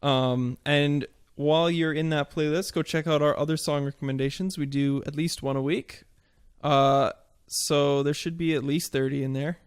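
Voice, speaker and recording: A podcast host, a man with a slightly high voice, talking in a relaxed, chatty way. He runs the segments and plugs the show casually. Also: moderate pace, clear, resonant tone, dry recording, clean studio sound.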